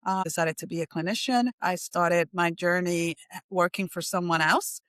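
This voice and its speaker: A woman's voice: fairly low pitch, normal speed, a bit bright, dry recording. A middle-aged woman from Puerto Rico, raised in New Jersey, giving candid, conversational answers as an interview guest. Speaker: interview guest, counseling center chief executive.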